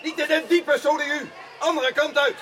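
Een acteur die in een hoorspel een zwemleraar speelt die luid korte, aanmoedigende bevelen roept. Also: male voice, very high-pitched, fairly even intonation, moderate pace, a loud crowd. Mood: commanding, encouraging. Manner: loud, clipped commands. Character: loud encouraging swimming coach calling out drills. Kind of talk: Dutch radio play, shouted instructions. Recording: noisy recording with pool ambience.

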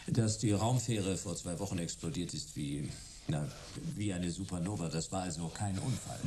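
An actor playing a wary, sardonic private detective in radio play dialogue, terse and deadpan. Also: male voice, low voice, normal speed, lightly acted. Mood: dry, impatient. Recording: clear background noise.

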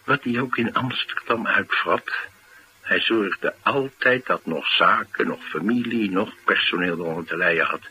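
A streetwise tough man in a radio play, low-pitched with a forceful, bright edge. He talks plainly and gruffly, rough on the surface and loyal underneath. Faint noise sits behind him. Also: normal speed, rough recording. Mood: grudging respect. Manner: gruff, plain-spoken, slangy. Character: rough, streetwise, loyal male bodyguard and driver. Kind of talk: naturalistic Dutch radio drama acting.

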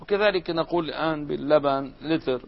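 Arabic grammar teacher, dull lo-fi recording. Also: male voice, a little high, fairly quick, vocal fry. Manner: explains step by step, asks students questions and answers them. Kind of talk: classroom grammar lesson.

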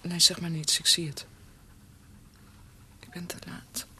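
An actress playing a grief-stricken young woman in a radio drama, speaking in a hushed, halting way. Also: fairly low pitch, level tone, in a whisper. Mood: stunned, grieving.

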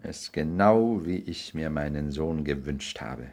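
A very low-voiced, worldly lord in a classic radio drama. He speaks with urbane polish and an arrogant, condescending cynicism. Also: expressive, a little slow, darkish tone, exaggerated voice. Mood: smooth, insistent. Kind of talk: 1960s German radio play acting.

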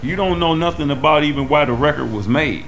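A man's voice: a little high, brisk, noisy background. A man talking candidly, as in an interview, in a blunt, colloquial, emphatic way. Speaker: musician and record label member. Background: music.

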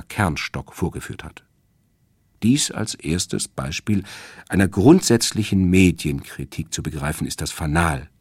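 A man delivering a scripted literary radio essay as a presenter, in a measured, emphatic and erudite manner. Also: very deep voice, breathy edge, dry recording. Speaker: radio presenter introducing a radio play.

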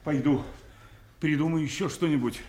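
A man's voice: a little high, normal speed, a little mellow, echoey room, a little hiss or hum, close to an everyday voice. An actor playing an anxious, kindly companion of a mad king in a theatrical audio drama. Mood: considerate.

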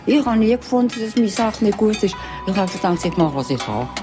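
A woman's voice: mid-range voice, very animated, brisk, music in the background. A woman artist recalling her work spontaneously, plain-spoken, in loose broken sentences.

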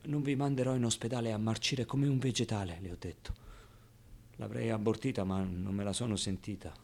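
An actor playing a streetwise, wistful orphan boy in a halting, emotional theatrical monologue. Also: on the low side, fairly even intonation, gravelly. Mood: tender. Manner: halting, emotional, swinging from outbursts to hushed confiding. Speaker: stage actor.

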